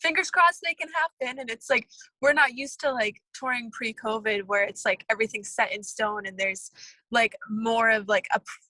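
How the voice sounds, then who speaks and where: A woman's voice: on the high side, steady tone, normal speed, sharp tone, no room sound, low-quality recording. A young woman in a band, chatting upbeat and casually in an interview. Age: young adult. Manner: chatty, upbeat, many fillers. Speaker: band member.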